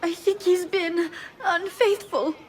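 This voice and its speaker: Young Italian girl, wounded and indignant, game drama, extremely high pitch, slow, faint background noise. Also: fairly even intonation, darkish tone. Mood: tearful, hurt. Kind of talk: dramatic video game acting.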